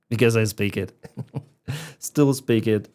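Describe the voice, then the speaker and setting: A man with a Ukrainian accent chats on a live stream, reading donor names aloud and laughing easily between them. His voice sits fairly low. He talks at an unhurried, drawn-out pace. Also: a little mellow. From Crimea. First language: Russian. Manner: chatty, laughs often, reads out donor names. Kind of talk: casual live stream chat reading donations.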